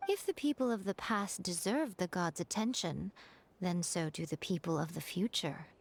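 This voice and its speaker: A woman's voice: lowish voice, lively intonation, normal speed. A woman playing a poised, self-assured shrine priestess in a video game, with a playful and knowing manner. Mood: thoughtful. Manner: playful, knowing, smooth-talking. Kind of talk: scripted video game voice acting. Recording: clear game music behind. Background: game music.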